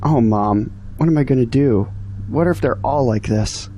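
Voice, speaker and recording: A man's voice: mid-range voice, moderate pace, warm tone, a little hiss or hum, lightly acted. A man playing an earnest, discouraged young graduate in an audio drama, complaining about his troubles. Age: young adult.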